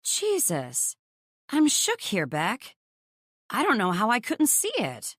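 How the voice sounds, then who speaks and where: A woman's voice: mid-range voice, wildly swooping pitch, slow pace, husky, dry recording, clean studio sound, voice pushed up, full character voice. A woman voicing a blunt, nosy young female best friend in an audiobook, gushing and teasing in exclamations. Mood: astonished. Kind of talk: audiobook character dialogue.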